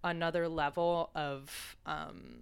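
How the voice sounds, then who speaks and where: A woman's voice: low voice, fairly even intonation, takes it slowly, vocal fry, clear, resonant tone. A woman hosting a conversational interview, thinking aloud and searching for the right words. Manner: thoughtful, searching for words with fillers and restarts. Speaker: podcast host.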